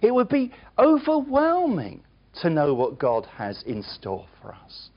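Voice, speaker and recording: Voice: mid-range voice, huge pitch swings, a little slow, muffled recording. A preacher delivering a sermon, emphatic and earnest, with chatty, self-deprecating asides to the congregation.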